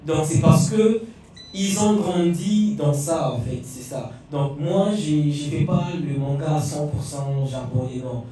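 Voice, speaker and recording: A man's voice: a little high, a little slow, dark tone. A man with a Congolese French accent explaining his work informally as a comic artist, restarting phrases. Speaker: manga artist on a panel. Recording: very echoey room, distant mic, music behind.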